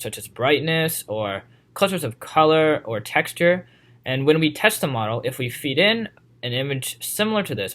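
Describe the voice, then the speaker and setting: A teenage boy walks through a simple example in a student presentation. His voice is mid-pitched and plain. He is at home, speaking into a laptop mic. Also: a bit bright. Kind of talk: prepared project presentation. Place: room at home.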